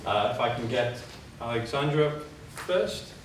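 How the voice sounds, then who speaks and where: A man's voice: mid-range voice, lively intonation, a little slow. A man hosting a conference who introduces the speakers hesitantly, with many fillers. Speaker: conference host. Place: conference hall. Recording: very echoey hall, distant mic, noisy.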